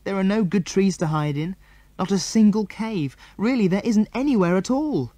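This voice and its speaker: A man's voice: sits high, animated, normal speed, mellow, no echo, voice pushed up. A British man voicing an anxious, tearful young girl in an adventure storybook.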